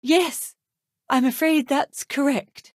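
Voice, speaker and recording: An actress playing a facility scientist in a video game, explaining things in an expressive, dramatic way. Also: female voice, high-pitched, slow pace, dry recording, clean studio sound. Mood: apologetic. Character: female facility scientist explaining dire problems.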